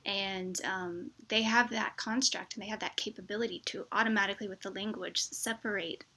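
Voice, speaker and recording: A woman's voice: mid-range voice, steady tone, brisk, bright, forceful tone. A middle-aged American woman giving an informal explanatory talk, illustrating her points with everyday examples. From United States (Wichita, Kansas). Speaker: vlogger, expat presenter.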